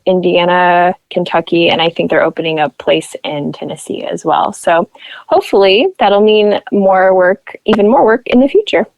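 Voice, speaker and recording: Low-voiced chatty woman guest. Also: vocal fry, dry recording, low-quality recording. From Nebraska. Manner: chatty storyteller who acts out quoted voices. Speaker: podcast guest. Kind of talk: casual interview chat.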